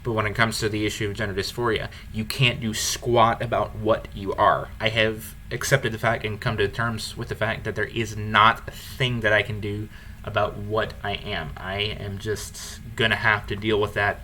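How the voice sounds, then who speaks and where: Male podcast host, low baritone with a bright, forceful tone; earnest, reflective, unscripted. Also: level tone, moderate pace, slight background noise. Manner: reflective, candid, restarts phrases. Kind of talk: earnest conversational podcast talk.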